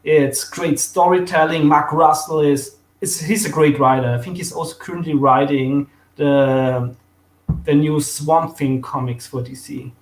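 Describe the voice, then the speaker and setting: A man's voice: a little high, steady tone, measured pace, roomy sound. A man from Austria with an Austrian accent, a guest chatting eagerly about comics with many fillers and restarts. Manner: enthusiastic, fillers and restarts, laughs easily. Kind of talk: casual chat about comics.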